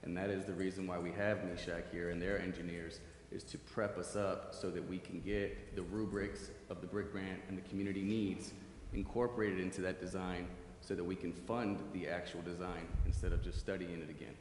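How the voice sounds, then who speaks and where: A man's voice: sits low, flat delivery, fairly quick, darkish tone. A man explaining a project as an official at a public meeting in a council chamber. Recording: echoey hall, long reverb, faint noise.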